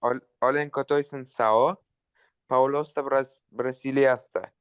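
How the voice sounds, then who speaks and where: A man's voice: medium pitch, steady tone, smooth, no echo. A man taking part in a language class as a student, hesitantly reading aloud and translating. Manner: hesitant, halting, unsure.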